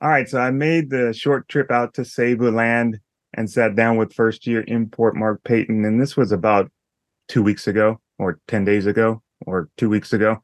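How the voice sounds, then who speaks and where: A man hosting a podcast in casual chat, his voice raspy, laughing often and joking easily. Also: on the low side, little pitch movement, moderate pace, no room sound. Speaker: podcast host.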